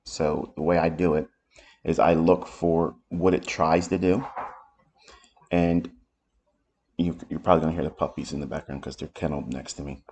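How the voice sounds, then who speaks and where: Very deep-voiced man, raspy today. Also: fairly even intonation, darkish tone, slight background noise. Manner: unhurried, thinking aloud while explaining charts. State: raspy from allergies. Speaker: trading educator. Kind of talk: live market commentary stream. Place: home office.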